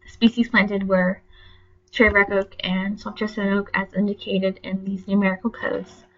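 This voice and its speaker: A young woman walks through a class project, her voice congested and stuffy from allergies. She talks plainly and a bit haltingly, with ums and self-corrections along the way. The recording is dull and lo-fi, like a computer mic on a screen capture. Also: mid-range voice, flat delivery, a bit bright, slight background noise. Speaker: student presenter.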